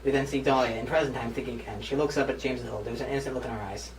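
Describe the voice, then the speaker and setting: A man's voice: medium pitch, fairly even intonation, racing. A man in his twenties hosts a casual script reading, narrating stage directions in a mid-range voice. He sounds set back from the mic in an echoey room. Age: 20s. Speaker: host and narrator. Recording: roomy, distant mic, faint noise.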